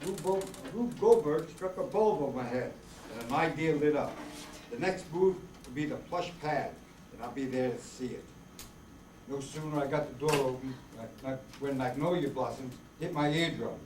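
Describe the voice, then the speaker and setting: A man's voice: on the high side, moderate pace, a little mellow, natural voice. A man playing a slangy, wry hard-boiled detective narrating an amateur radio play. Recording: far echoey room, clear mechanical noise.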